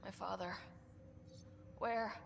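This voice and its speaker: A woman's voice: mid-range voice, steady tone, takes it slowly, creaky, in a large hall, slight background noise. A woman playing a young, shaken but determined space explorer in a naturalistic video game cutscene. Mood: shocked, grieving. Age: young adult.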